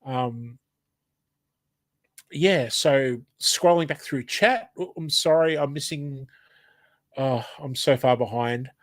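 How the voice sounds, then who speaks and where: A man's voice: mid-range voice, slow pace, long pauses, no echo. An Australian man with hay fever and a blocked nose, casually reading a live stream chat aloud, hesitant and apologetic, with lots of ums.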